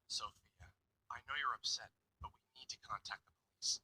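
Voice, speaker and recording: Sharp-voiced, practical detective. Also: male voice, mid-range voice, moderate pace, breathy edge, full character voice, rough recording. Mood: grave, practical. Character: steady, practical male police detective. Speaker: detective character. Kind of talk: visual novel voice acting.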